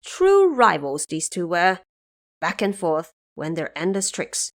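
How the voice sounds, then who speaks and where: A woman narrating an audio drama in an expressive, theatrical storytelling style. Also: fairly low pitch, slow pace.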